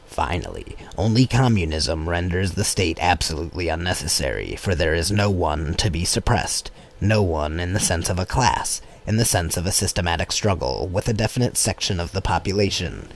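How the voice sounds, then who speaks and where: A man reading a political text as an audiobook in a measured, formal way. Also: low voice, lively intonation. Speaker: audiobook reader. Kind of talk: scripted narration of a political text. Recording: clear background noise.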